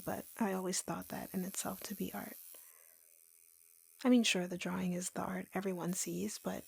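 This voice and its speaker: Woman acting a breathy, slow-talking lovesick yandere. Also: medium pitch. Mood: calm, intimate. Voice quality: soft, breathy, whispery. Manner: slow, intimate, halting confiding. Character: lovesick, obsessive yandere woman tattoo artist. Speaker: roleplay voice performer. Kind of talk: intimate girlfriend roleplay audio, POV.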